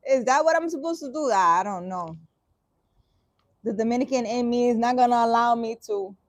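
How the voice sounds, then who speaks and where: A woman with a fairly high voice, recorded dry and close with almost no room sound. She reads a dream interpretation aloud, then breaks in with sarcastic, exasperated asides. Her speech is halting, full of restarts and casual swearing. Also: dry recording. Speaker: spiritual vlogger.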